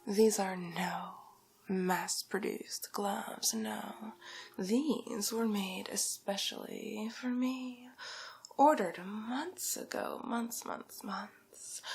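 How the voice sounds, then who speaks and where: A woman playing a haughty, vain, condescending rich villainess in a whispered ASMR roleplay, with a breathy, creaky voice and drawn-out, patronizing delivery. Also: fairly high pitch, a little hiss or hum, full character voice. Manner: slow, drawn-out, theatrical, patronizing. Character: haughty, vain, condescending wealthy villainess, fur-loving fashion snob.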